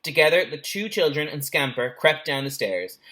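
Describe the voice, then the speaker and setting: Male teacher for children's storytime, expressive narration with small asides, bright, forceful tone. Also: on the high side, normal speed. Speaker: teacher reading a story to pupils. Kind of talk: animated children's storybook reading.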